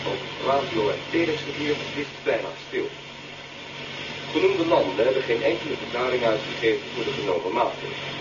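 A man's voice: medium pitch, a little fast. A man acting a formal, impersonal newsreader heard through a radio set in a radio drama. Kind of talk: formal scripted news bulletin within a radio drama. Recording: muffled distant radio sound, clear hiss and noise.

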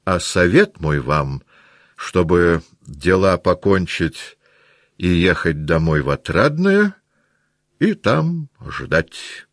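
A man's voice: low-pitched, sing-song, slow pace, no echo, clean studio sound, full character voice. Man voicing a brusque, commanding older society matron. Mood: brusque, decisive. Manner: emphatic, commanding.

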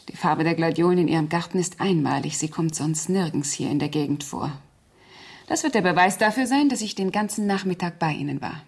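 A woman's voice: on the low side, darkish tone, breathy edge. An actress playing a cold, calculating woman in a German radio crime drama, speaking with precise, controlled command. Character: cold, calculating murderess posing as helpful household friend.

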